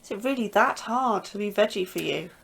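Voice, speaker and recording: A woman's voice: mid-range voice, expressive, a little fast, vocal fry, a bit bright, slightly rough, heavily acted. A woman playing an anxious, questioning astrobiologist in a sci-fi horror audio drama. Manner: earnest and questioning, a little anxious.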